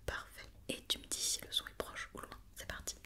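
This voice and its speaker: A woman whispering an ASMR roleplay as a doctor giving an examination, with soft, reassuring and encouraging step-by-step instructions. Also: brisk. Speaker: ASMR performer playing an occupational doctor examining a robot.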